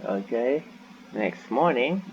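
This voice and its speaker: Slow, gravelly gamer thinking aloud. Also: male voice, mid-range voice, lively intonation, lo-fi sound, a little hiss or hum. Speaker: gaming channel host. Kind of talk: casual game playthrough commentary.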